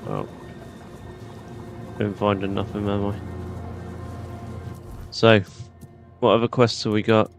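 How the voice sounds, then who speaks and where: A man's voice: lowish voice, little pitch movement, slow, creaky, pauses often, dull audio, faint music behind. A British man doing casual gameplay commentary, chatty and informal as he muses to himself. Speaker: gaming host.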